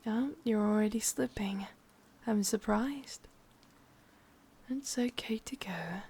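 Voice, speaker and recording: A woman voicing a sadistic, possessive witch lover in a breathy, husky whisper with an English accent, intimate and teasing, for an ASMR roleplay. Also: mid-range voice, takes it slowly, dark tone, full character voice. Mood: soothing, possessive.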